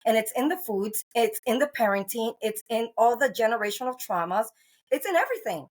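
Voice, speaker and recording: A woman's voice: fairly high pitch, animated, fairly quick. Earnest, rambling middle-aged woman host. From Cuba. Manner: earnest, rambling, many restarts and fillers. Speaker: podcast host. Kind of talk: casual interview chat.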